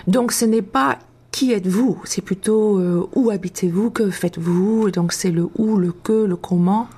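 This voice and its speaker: A woman from France speaking as an interview guest, reflective and halting, with long thoughtful hesitations. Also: medium pitch, warm tone, husky. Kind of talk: casual, reflective interview talk.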